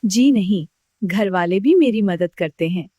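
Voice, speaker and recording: A woman playing a kindly older village farmer in a children's cartoon, speaking gently and clearly. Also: medium pitch, animated, a little slow, no echo, faint music behind. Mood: kindly, content. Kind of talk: scripted children's educational cartoon dialogue.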